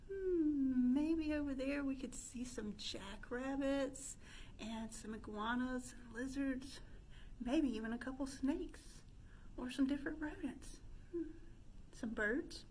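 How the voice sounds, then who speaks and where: Female children's storyteller, high voice, unhurried sing-song delivery, questions to little listeners. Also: a little hiss or hum, poor audio. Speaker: children's librarian hosting storytime. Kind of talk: children's storytime, interactive pretend play. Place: indoor room with stone fireplace.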